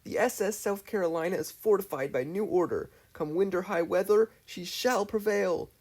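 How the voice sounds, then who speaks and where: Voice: medium pitch, moderate pace, darkish tone, slightly rough, pitched above the performer's own voice, natural voice. A performer voicing a theatrically heroic woman sea captain in a dramatic, declamatory manner. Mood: defiant.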